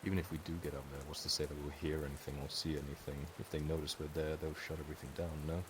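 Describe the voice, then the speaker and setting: A man's voice: rumbling low, flat delivery, rapid-fire. A man playing a cautious, skeptical fantasy adventurer in a live roleplay. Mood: cautious, doubtful. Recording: quiet voice under hiss and rain.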